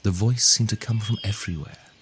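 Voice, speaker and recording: A man narrating a fairy tale in a measured, theatrical storytelling manner. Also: fairly low pitch, slight background noise. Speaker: storyteller and author reading his own tale. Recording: music under the voice. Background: music.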